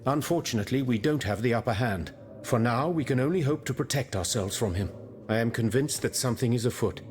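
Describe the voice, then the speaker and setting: An earnest eighteenth-century style man of science played by a man, with a middle-pitched voice. He is courteous and grave, phrasing everything formally and articulately, as in a historical video game. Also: animated, brisk, a little mellow, slight background noise, lightly acted. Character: earnest, courteous French gentleman chemist, learned and grave. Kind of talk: video game dialogue acting.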